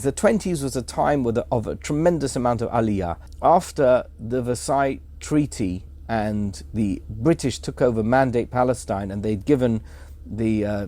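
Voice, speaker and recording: A man's voice: mid-range voice, dark tone. A man appearing as a guest on a podcast, explaining history fluently in a lecturing way with some fillers. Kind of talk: casual explanatory podcast talk.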